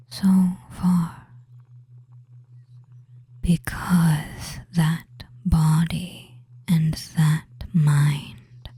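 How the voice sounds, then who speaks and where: Femboy witch hypnotist, male performer: very dark, soft whisper, flat and lulling, quietly dominant, for ASMR roleplay. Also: a little high, full character voice. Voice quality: breathy whisper. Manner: very slow, lulling, murmured commands with long pauses.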